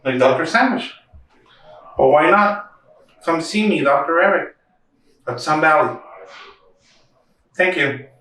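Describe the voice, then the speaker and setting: A man's voice: fairly high pitch, lively intonation, slow pace, pauses often, sharp tone. A man speaking to a puppet show audience as a guest therapist. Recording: echoey room, slightly distant mic.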